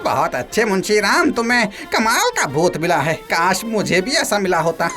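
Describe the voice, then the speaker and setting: A woman's voice: medium pitch, very animated, normal speed, a bit bright, soft background music. A woman playing an impressed, envious villager in a comedy cartoon. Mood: admiring, envious. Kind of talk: animated comedy cartoon acting.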